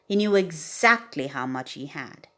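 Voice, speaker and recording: A woman reading a children's bedtime story aloud as an expressive narrator. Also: sits low, unhurried. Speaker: storybook reader.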